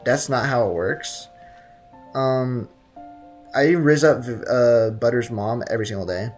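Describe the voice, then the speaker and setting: A man's voice: medium pitch, measured pace, darkish tone. Male livestream host, casual gaming commentary, blunt teasing banter, music in the background. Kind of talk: casual game streaming commentary, reading chat.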